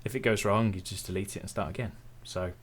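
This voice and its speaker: A man's voice: fairly low pitch, fast talker, slightly rough. A young man with an English Midlands accent, chatting casually and easily as an interview guest. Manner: relaxed, chatty, self-deprecating. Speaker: tech YouTuber guest.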